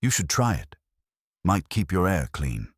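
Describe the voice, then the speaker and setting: A male reader plays a detective's wisecracking legman. He is dry and sardonic, with a very low, slightly husky voice. The lines come as wry asides in an audiobook mystery. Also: sing-song, a little slow, dry recording, heavily acted. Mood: teasing.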